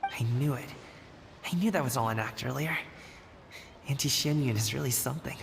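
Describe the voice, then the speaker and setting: A man's voice: medium pitch, a little fast, creaky, noisy background, close to an everyday voice. An actor playing an earnest, modest young man in a video game, speaking in a casual, sincere way. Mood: surprised, admiring.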